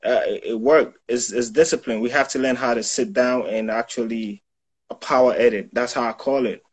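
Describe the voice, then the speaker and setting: A man's voice: mid-range voice, steady tone, moderate pace, slightly rough. A young man from Ghana, a self-taught photographer and videographer, talking casually on a video call and peppering his speech with "yo" and "you know what I'm saying". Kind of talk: casual interview chat. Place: indoors, small room.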